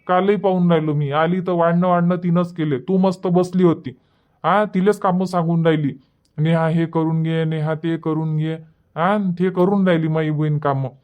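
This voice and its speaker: A man's voice: a little high, fairly even intonation, normal speed, clear, resonant tone, narrow, tinny audio, close to an everyday voice. A man voicing an exasperated cartoon village husband, blunt and scolding, with a Varhadi Marathi accent. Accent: Varhadi Marathi from Vidarbha. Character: exasperated village husband, protective of his sister. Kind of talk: dubbed cartoon comedy dialogue.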